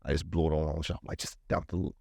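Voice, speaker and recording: Man, New Zealand accent, very low voice, dry close sound, casual rambling swearing. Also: level tone, racing, creaky, warm tone, dry recording. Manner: swearing, rambling, repeats words. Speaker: podcast guest. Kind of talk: casual chat.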